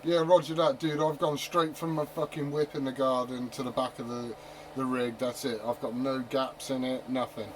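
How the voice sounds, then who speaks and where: A man's voice: medium pitch, steady tone, normal speed. A man chatting casually and swearing freely over CB radio in slangy talk. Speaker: amateur CB radio operator. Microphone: CB radio set. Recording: noisy CB radio transmission, heavy static.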